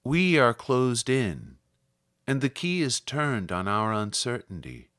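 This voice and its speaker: A man reads verse aloud at a slow, deliberate pace. His delivery is solemn and measured, shaped as a literary recitation. The voice sits at a medium male pitch in a dry, close sound with very little room. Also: wildly swooping pitch, fairly bright tone, dry recording. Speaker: poetry reader.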